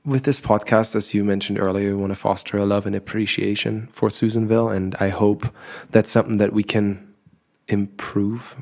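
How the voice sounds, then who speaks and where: Man, fairly low voice, podcast co-host, easygoing, rambling. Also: moderate pace. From Germany. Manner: relaxed, rambling with restarts and laughs. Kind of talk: casual chat.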